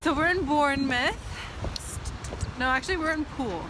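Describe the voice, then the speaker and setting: Teasing high-voiced Syrian woman outdoors. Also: normal speed. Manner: playful, teasing, rambling. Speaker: vlogger. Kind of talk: casual chat to camera. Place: outdoors by a street and the seaside. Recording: loud street and wind noise.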